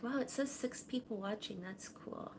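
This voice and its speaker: A woman hosting a casual livestream from her home kitchen, talking softly and rambling, her sentences often trailing off quietly. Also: a little high, normal speed, noisy background. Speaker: livestream host. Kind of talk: casual chat to viewers. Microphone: camera on a tripod.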